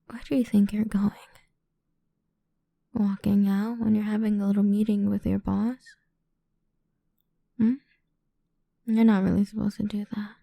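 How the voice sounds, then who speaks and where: A woman playing a cruel, condescending female boss in an ASMR roleplay, speaking deliberately and often slipping into a whisper close to the microphone. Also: mid-range voice, flat delivery, mellow, no echo. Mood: coaxing, controlling. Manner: condescending, deliberate, drawn-out delivery with breathy whispers. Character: cruel, domineering female boss toying with an employee. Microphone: close microphone, dry.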